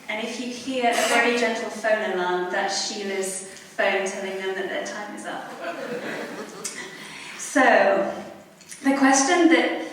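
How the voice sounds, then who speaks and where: A woman moderating an event, introducing a panel of speakers in a measured way. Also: mid-range voice. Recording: echoey hall from far off, faint noise.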